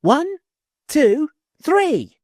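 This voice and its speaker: Man doing a young boy bellowing counts from a tower, high and loud, storybook reading. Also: wildly swooping pitch, no room sound, clean studio sound, exaggerated voice. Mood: shouting. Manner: loud, slow shouting.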